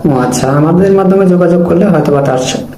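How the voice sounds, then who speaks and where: A man's voice: high voice, rapid-fire, dark tone. A man hosting and interviewing, asking rambling questions with many restarts. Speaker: channel host and interviewer. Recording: echoey room with faint noise.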